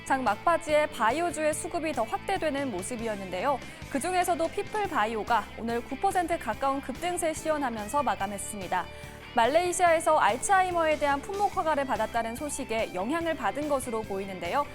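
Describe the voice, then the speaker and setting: A woman's voice: sits high, lively intonation, a little fast. A woman presenting a scripted stock market news report in a polished, formal broadcast delivery. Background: music bed.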